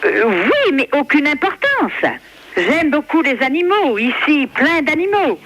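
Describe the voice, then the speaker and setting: A woman's voice: high-pitched, sing-song, a little slow, fairly bright tone. A woman in her fifties from France chatting casually on a phone call, accommodating and hesitant. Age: 50s. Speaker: member of the public receiving prank call. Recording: phone call recording with faint noise.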